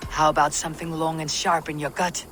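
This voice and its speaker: Blunt, steely Viking warrior. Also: female voice, low-pitched, level tone, brisk, slight background noise. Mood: impatient, stern. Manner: terse, dry, threatening. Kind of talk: video game character acting. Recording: loud music behind the voice.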